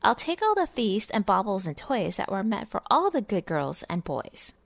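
A woman's voice: medium pitch, sing-song, normal speed, creaky, dry recording. A woman voicing a grumpy, bossy rich male goblin in a storybook, with a sneering growl. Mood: scheming. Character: grumpy, snarly rich male goblin who hates holidays.

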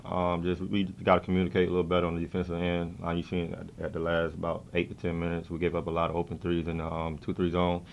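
A young man, a college basketball player, answering press questions after a game in a soft-spoken, filler-heavy way. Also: deep voice, monotone, fast talker, gravelly, few pauses, slight background noise. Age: young adult. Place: press conference room. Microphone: table mics in front of him.

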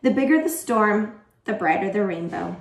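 A woman reads as an encouraging, patient woman teacher in a storybook. Her delivery is reassuring and measured, at a medium pitch. The room echoes around her. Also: expressive, vocal fry. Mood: hopeful. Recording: echoey room, distant microphone.

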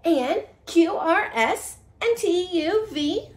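A woman preschool teacher reads a picture book aloud in a very high voice. Her delivery is slow and sing-song, with big swoops of pitch. She exaggerates the storytelling for young children. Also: expressive. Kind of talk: animated children's read-aloud. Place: small living room, seated on a couch.

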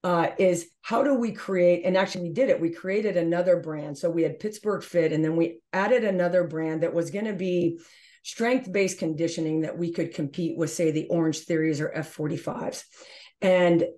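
A woman's voice: lowish voice, normal speed, warm tone. A middle-aged woman giving explanatory answers as an interview guest on a video call. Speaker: interview guest, gym owner.

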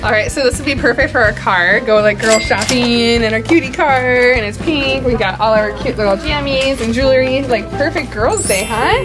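A woman's voice: on the high side, normal speed, hardly any pauses. A woman in her thirties chatting casually with a young child as a family vlogger, upbeat, gushing and encouraging. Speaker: family vlog host, mother. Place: in a car, a store and an outdoor parking lot. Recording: music behind the voice.

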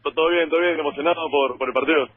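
A man's voice: on the high side, steady tone, rapid-fire, crowd noise. A Peruvian man, a football fan giving an earnest street interview for radio, repeating words. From Lima, Peru. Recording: tinny phone line from street.

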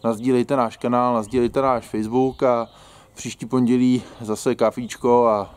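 A man's voice: medium pitch, flat delivery, darkish tone, a little hiss or hum. A man in his thirties hosting a casual how-to video, chatty and joking, recorded outdoors in a yard. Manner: chatty, joking, colloquial Czech. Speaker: outdoor gear channel host. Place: outdoors in a grassy yard by a wooden shed.

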